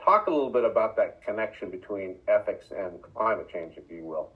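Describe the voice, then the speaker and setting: A man's voice: fairly low pitch, lively intonation, fairly quick. A man interviewing a guest for a podcast in a casual, conversational way. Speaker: podcast interviewer, ethics center director. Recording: slightly dull, lo-fi audio.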